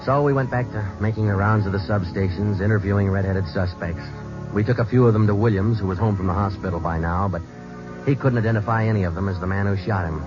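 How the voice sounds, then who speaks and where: A deep-voiced police sergeant , played by a man, who talks in short, flat, matter-of-fact lines. It sounds like an old radio drama broadcast, a bit dull in tone, with music underneath. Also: fast, natural voice. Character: terse male police sergeant narrating a case. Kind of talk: 1940s radio drama acting.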